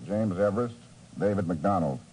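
A man's voice: sits low, expressive, normal speed, mellow. An actor playing an urgent, skeptical embassy intelligence man in a 1950s radio drama. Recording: noisy vintage 1950s radio broadcast.

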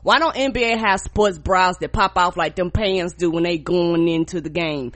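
A woman co-hosting a podcast, stuffed up with a cold, telling stories in a casual, profane, animated way. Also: lowish voice, moderate pace. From rural United States. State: congested from a cold. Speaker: podcast co-host.

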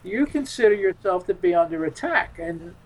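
A man talking casually as a radio interview guest, halting and repeating his words. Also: high voice, animated, rough recording.

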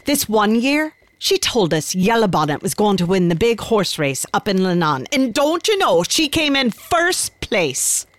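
A woman's voice: mid-range voice, very animated, a little slow, hardly any pauses, dry recording. An actress playing an Irish countrywoman who tells a tale of her gran in a chatty, confiding, folksy way for a radio drama. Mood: wondering. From Ireland. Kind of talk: conversational storytelling in radio drama.

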